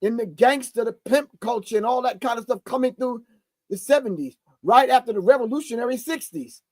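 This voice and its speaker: A man's voice: extremely high pitch, brisk, no room sound, clean studio sound. A man in his sixties hosting a show, reacting to a video with emphatic, preachy commentary and repeated key phrases. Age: 60s. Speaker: host.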